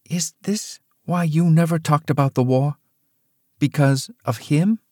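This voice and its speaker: A man's voice: fairly high pitch, unhurried, a little mellow, no echo, clean studio sound, voice pushed up. A man voicing a shaken adult daughter who speaks urgently and pleadingly, in a storybook reading.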